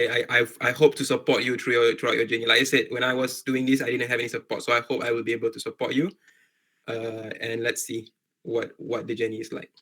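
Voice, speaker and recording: Young malaysian guy doing a casual online talk for students, bright voice kinda even, repeats words and rephrases a lot. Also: mid-range voice. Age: young adult. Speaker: medical doctor, guest speaker. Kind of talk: informal online presentation to students.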